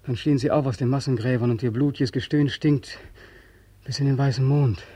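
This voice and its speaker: Brief: male actor as haunted war returnee, 1940s radio drama, muted raspy tone, pleading, obsessive. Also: mid-range voice, steady tone, fairly quick, warm tone, no echo, close to an everyday voice. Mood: feverish. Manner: weary, pleading, obsessive, repeating himself. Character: weary, haunted ex-soldier back from war, insistent.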